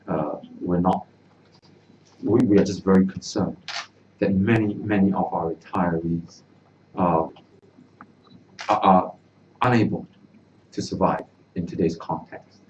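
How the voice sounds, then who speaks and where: Man, deep voice, halting earnest speech, dull faint-noise recording. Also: fairly even intonation, a little mellow. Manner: earnest, halting, repeats words. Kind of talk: press conference statement. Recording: distant mic, dull, noisy room.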